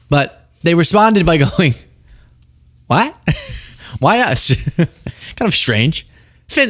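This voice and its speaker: A man's voice: high voice, fairly bright tone, dry recording, studio quality. A man hosting a political commentary show, talking casually and sarcastically, laughing often.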